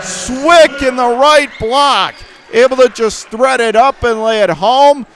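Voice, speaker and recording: A man doing live radio play-by-play of a basketball game in clipped running phrases, with excitable calls. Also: very high-pitched, slight background noise. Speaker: play-by-play commentator.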